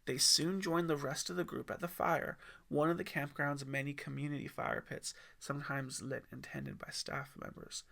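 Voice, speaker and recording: Male audiobook narrator, expressive storytelling, creaky bright voice. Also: on the high side, moderate pace, few pauses.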